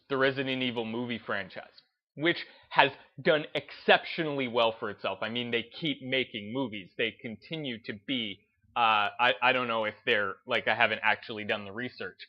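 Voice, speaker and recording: A man giving opinionated, unscripted commentary as a video host, stressing his points emphatically and deliberately with short declarations. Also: medium pitch, dull audio.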